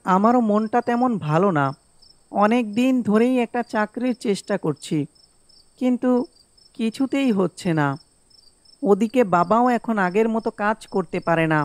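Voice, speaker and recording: A man's voice: sits high, normal speed, long pauses, dry recording, natural voice. A man voicing earnest, troubled young village friends in sentimental cartoon dialogue. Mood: downcast.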